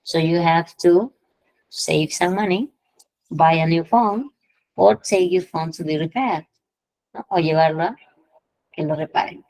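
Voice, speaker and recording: A woman's voice: fairly low pitch, a little slow, pauses often, darkish tone, no room sound, rough recording. A woman teaching English idioms in an online class, explaining patiently, repeating phrases and checking in with her students. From Peru.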